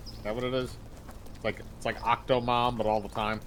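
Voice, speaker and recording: A man's voice: medium pitch, little pitch movement, fairly quick, a little hiss or hum. A man making crude, wisecracking commentary during a casual game playthrough. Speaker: gaming stream co-commentator.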